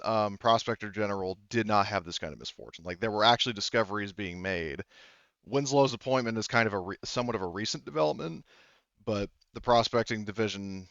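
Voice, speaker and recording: A man improvising narration as game master of a tabletop roleplaying game, explaining in a halting way and rephrasing as he goes. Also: lowish voice, fairly bright tone, dry recording, highs cut off.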